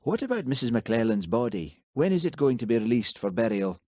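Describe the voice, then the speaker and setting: A man's voice: mid-range voice, sing-song, fairly quick, mellow, no echo, clean studio sound, pitched above the performer's own voice. A man reading an audiobook, voicing a grudging, heartless detective who makes sardonic remarks. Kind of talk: audiobook character voice.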